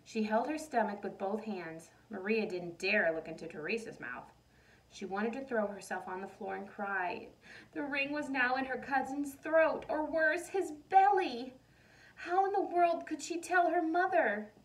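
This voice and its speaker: A woman reading a storybook aloud to children in an expressive, animated way. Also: a little high, measured pace. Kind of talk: expressive storybook read-aloud with questions to listeners. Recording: roomy echo, distant mic.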